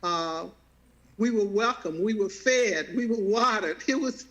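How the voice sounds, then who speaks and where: A woman in her seventies with a Southern American accent, sharing a personal testimony in a halting way, often repeating words and laughing easily. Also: mid-range voice, a bit bright, muffled recording. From Southern United States. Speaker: guest.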